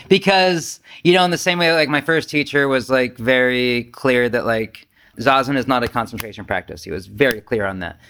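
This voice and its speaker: A man giving an informal, rambling Zen talk as a retreat teacher, conversational, animated and self-deprecating. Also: mid-range voice, moderate pace.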